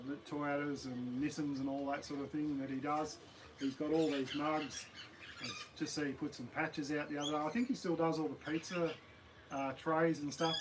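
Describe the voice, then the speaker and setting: A man with an Australian accent and a slightly croaky voice, chatting casually and rambling on a live stream from his backyard. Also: on the high side, noisy background. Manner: rambling, relaxed, many ums and uhs. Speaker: camping channel host. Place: backyard outdoors.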